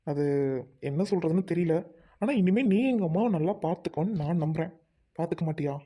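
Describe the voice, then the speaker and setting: A woman voicing a young husband and elder brother who calmly reassures and mediates. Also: on the low side, fairly quick, husky. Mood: calm, reassuring.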